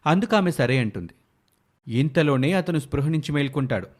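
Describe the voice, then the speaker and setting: A man's voice: on the high side, animated, a little fast. A man reading scripted narration for a moral story as its narrator.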